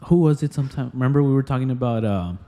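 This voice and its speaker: A man's voice: medium pitch, fast talker, warm tone. A man in his twenties co-hosting a podcast, talking casually with a friend in repetitive, slangy bro talk. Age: 20s. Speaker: podcast co-host.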